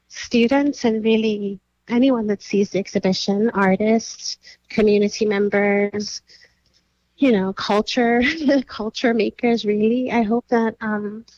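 A woman's voice: on the high side, steady tone, takes it slowly, a little mellow, dry recording. Thoughtful woman curator, dry dull sound. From Nigeria. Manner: thoughtful, many fillers and restarts. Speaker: curator and writer. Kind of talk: interview answers.

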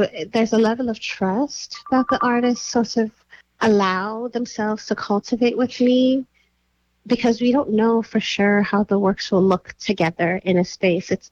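A woman from Nigeria, a curator and writer, answering interview questions thoughtfully with many pauses and fillers. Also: mid-range voice, a little mellow, highs cut off.

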